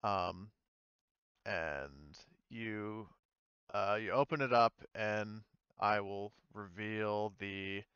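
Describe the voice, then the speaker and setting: A man game master narrating a tabletop game off the cuff, speaking in halting pieces. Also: fairly low pitch, fairly bright tone, clear, resonant tone, no echo, lo-fi sound.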